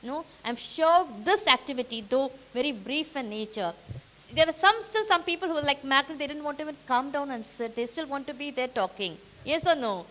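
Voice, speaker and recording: Woman trainer, high, crisp voice, energetic and audience-rallying. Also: fairly quick. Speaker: workshop trainer. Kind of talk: interactive training session. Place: meeting hall with a live audience.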